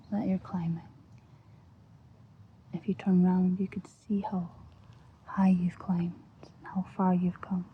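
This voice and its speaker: A Scottish woman speaks softly and breathily, often in a whisper, gently and soothingly guiding an ASMR visualisation with long pauses. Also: on the low side. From Scotland. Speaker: ASMR host. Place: outdoors, lying on grass. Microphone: close-up. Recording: muffled lo-fi outdoor recording, faint noise.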